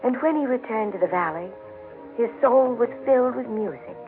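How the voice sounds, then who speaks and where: A man telling a story in solemn 1940s radio narration, with reverent, measured, formal phrasing. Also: extremely high pitch, expressive. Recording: tinny 1940s radio broadcast with music behind. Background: music.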